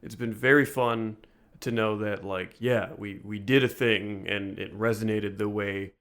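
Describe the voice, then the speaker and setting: A man's voice: on the low side, steady tone, a little slow, fairly bright tone. Dry, sardonic man co-hosting a podcast. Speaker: podcast co-host. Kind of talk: casual behind-the-scenes podcast chat.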